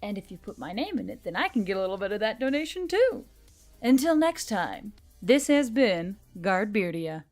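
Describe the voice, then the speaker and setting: Female narrator for scripted fiction, mid-range pitch, faint music underneath. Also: very animated, moderate pace, darkish tone. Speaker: audiobook narrator and channel reader.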